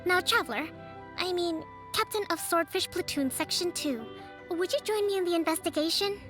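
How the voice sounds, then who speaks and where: A woman voicing a composed young leader and strategist who speaks in a measured, formal, articulate way. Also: very high voice. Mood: gentle. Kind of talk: game voice acting. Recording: studio voice with soft game music.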